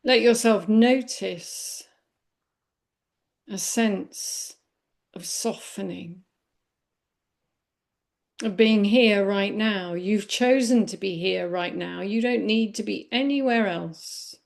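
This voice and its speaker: A woman leading a guided body scan meditation in a gentle, unhurried manner with long pauses. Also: mid-range voice, gravelly. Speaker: meditation teacher.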